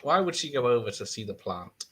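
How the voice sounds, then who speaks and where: A man's voice: medium pitch, big pitch swings, brisk, fairly bright tone, slightly rough. A man co-hosting a film watch party, chatting casually, teasing the others and laughing often. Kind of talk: casual chat.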